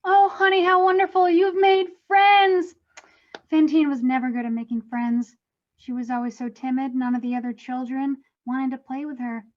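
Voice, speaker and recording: Casting: woman as doting middle-aged cartoon ghost mom, chatty and fussy, high register, slightly muffled recording. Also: flat delivery, moderate pace, natural voice. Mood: doting delight, then chatty fussing. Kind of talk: animated comedy table read. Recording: dull lo-fi microphone.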